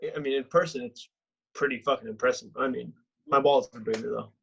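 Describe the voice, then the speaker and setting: A man's voice: medium pitch, steady tone, fairly quick, creaky, breathy edge, dull audio. A man playing a cocky, blunt, self-absorbed housemate in a comedy table read. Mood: deadpan boasting.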